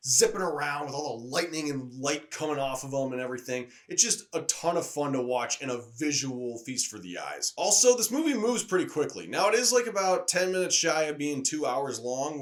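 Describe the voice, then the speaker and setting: Higher-pitched man giving enthusiastic film commentary. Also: fairly bright tone. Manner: enthusiastic, opinionated, conversational. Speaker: movie reviewer. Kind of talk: casual video film review.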